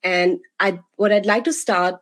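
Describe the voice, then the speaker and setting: A woman's voice: mid-range voice, steady tone, measured pace, fairly bright tone. A woman moderating a panel discussion, friendly and encouraging toward her guests, with many fillers.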